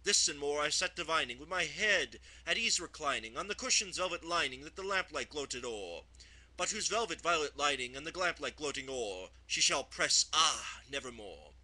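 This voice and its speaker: A man's voice: a little high, animated, normal speed, bright, forceful tone, low-quality recording. A man giving a theatrical, emphatic dramatic recitation of poetry, as an amateur voice actor practising.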